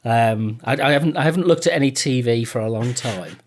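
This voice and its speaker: A man's voice: mid-range voice, fairly quick. A British man hosting a casual interview, talking in a rambling, self-deprecating way. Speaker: podcast host.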